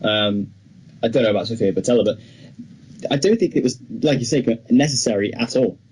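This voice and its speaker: A man's voice: medium pitch, brisk, darkish tone. A young British man co-hosting a film podcast, chatting casually as he reviews movies. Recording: clearly noisy background ambience.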